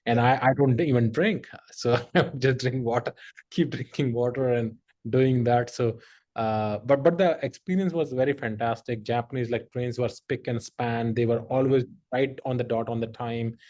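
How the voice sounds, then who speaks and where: A man's voice: mid-range voice, moderate pace, a little mellow. Middle-aged male podcast host, rambling anecdotal delivery. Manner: rambling, heavy filler use, frequent laughter. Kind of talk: casual conversational storytelling.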